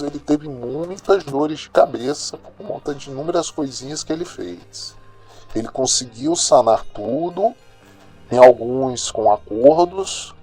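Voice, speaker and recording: Mellow-voiced Brazilian radio crime journalist, acted by a man. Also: mid-range voice. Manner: colloquial, opinionated, dramatic, addressing listeners directly. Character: streetwise, indignant male local radio crime journalist. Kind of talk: radio news commentary in an audio drama.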